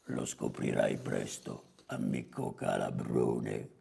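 A man voicing a gruff, domineering male hornet boss in a storybook fable, with a growling, emphatic delivery. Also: fairly even intonation, slow, mellow, heavily acted. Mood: pompous, decisive. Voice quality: very deep growl. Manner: barks curt orders, emphatic.

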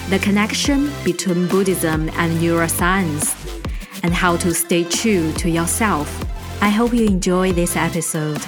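A woman hosting a podcast, interviewing a guest in a soft-spoken, slightly halting way. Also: on the low side, music in the background. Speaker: podcast host.

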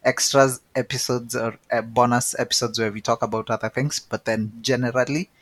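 Man, podcast co-host, easygoing chat, mid-pitched, dry close recording. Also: brisk, no echo. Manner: relaxed, chatty, repeats words as he thinks. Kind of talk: casual chat.

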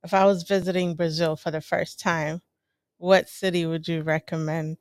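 A woman's voice: lowish voice, flat delivery, normal speed, no echo, clean studio sound. A woman hosting an interview show, introducing and questioning her guest in a friendly way that breaks into laughter. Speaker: host.

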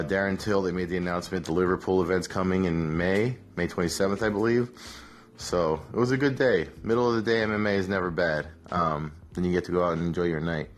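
Hungover man with a low voice. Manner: relaxed, rambling, many fillers and restarts. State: hungover, rough voice. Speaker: podcast host, MMA analyst. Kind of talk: casual solo podcast recap.

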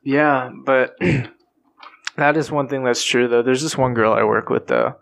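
A man's voice: medium pitch, measured pace, vocal fry. Man, casual group chat, rambling, lots of fillers, laid-back storytelling. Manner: relaxed and rambling, full of fillers, laughs at own stories.

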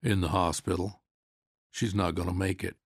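A man reading as a gravelly, blunt Western sheriff. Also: low-pitched, flat delivery, moderate pace, no room sound, exaggerated voice. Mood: stern, accusing. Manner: folksy, blunt, accusing. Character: big, suspicious small-town Western sheriff, blunt and stern.

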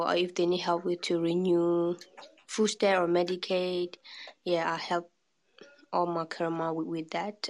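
A woman from Burma, an interpreter who talks about her work softly and hesitantly. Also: lowish voice. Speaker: interpreter and community liaison.

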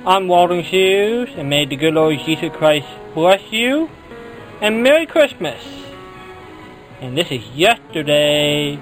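Slow, high-voiced American man over guitar music. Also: big pitch swings, poor audio. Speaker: old-time radio show host. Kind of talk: radio show outro.

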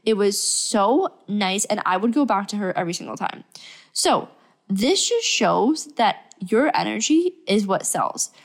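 A woman's voice: medium pitch, expressive, a little slow. A young woman hosting her podcast, chatting casually while sick and stuffy, upbeat and full of fillers. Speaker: podcast host. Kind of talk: casual solo podcast talk.

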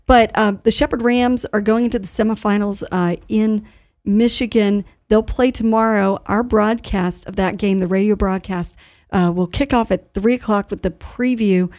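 Woman hosting live radio, informal and halting. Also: medium pitch, fairly even intonation, a little mellow. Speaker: radio show host. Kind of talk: live radio talk, partly reading notices.

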